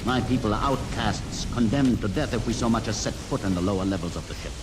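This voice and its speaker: Music plays clearly over an old radio broadcast. A man plays a grave mutant leader with a low voice, bitter and outcast. He speaks in solemn, deliberate, theatrical phrases in classic radio drama style. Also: natural voice. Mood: bitter, earnest.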